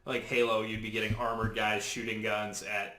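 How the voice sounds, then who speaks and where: Bright-voiced man on an echoey podcast. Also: fairly low pitch, flat delivery, moderate pace. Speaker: podcast panelist. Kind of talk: casual movie and game discussion. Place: indoor room with echo. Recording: roomy, echoing room.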